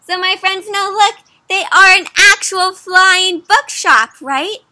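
A young adult woman reading a picture book aloud to children in an animated, interactive way, addressing her listeners directly. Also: very high-pitched, slow pace. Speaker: children's story reader, host. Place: outdoors under trees.

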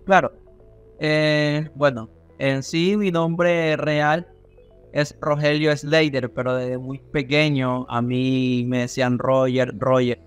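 A young man with a coastal Ecuadorian accent talks as a podcast guest. He has a medium-pitched voice and a casual, halting way of telling things, repeating words as he goes, with faint music in the background. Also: level tone, clean tone. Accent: Ecuadorian coastal Spanish. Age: young adult. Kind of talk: casual interview storytelling. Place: small indoor studio room on a video call.

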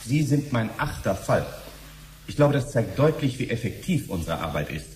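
An actor playing a fussy, officious middle-aged bureaucrat in a radio drama, pausing and hesitating as he questions. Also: mid-range voice, very animated, a little mellow, lightly acted. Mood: indignant. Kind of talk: 1970s German radio drama acting. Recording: slightly noisy old radio drama recording.

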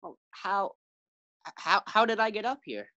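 A woman's voice: medium pitch, lively intonation, moderate pace, pushed and bright, no room sound, lo-fi sound. A woman acting an anxious, doting, befuddled husband who stammers, in a 1940s-style radio sitcom. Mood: dazed, flustered.

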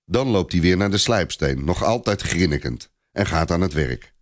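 A man's voice: deep voice, expressive, moderate pace. A man narrating a scripted story expressively, as a storyteller.

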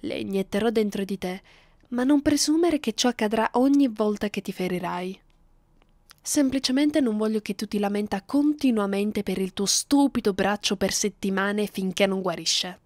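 A woman's voice: medium pitch, normal speed, darkish tone, no room sound, natural voice. A woman playing a cruel, seductive vampire mistress in an ASMR roleplay, speaking commandingly and often close to a whisper. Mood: condescending. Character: domineering, cruel, seductive vampire mistress addressing her human captive.

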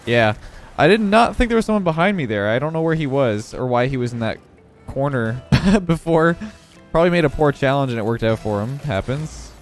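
Young male gaming creator, congested, casual play-by-play over soft game music, mid-pitched. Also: brisk. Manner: relaxed running commentary on his own play. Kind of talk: live gameplay commentary.

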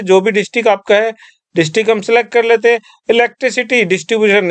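A man with a high, clear voice walks the listener through an online application one step at a time. He keeps it instructive and calls his viewers friends. The sound is dry and close, recorded at a desk mic in a quiet room. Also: no echo. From Rajasthan. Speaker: tutorial presenter. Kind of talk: screen-recorded tutorial voice-over.

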